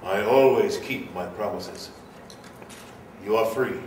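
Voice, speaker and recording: A man playing a noble gargoyle leader, solemn, commanding and deliberate, in a live radio play. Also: fairly low pitch, darkish tone. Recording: echoey distant live hall, slight noise.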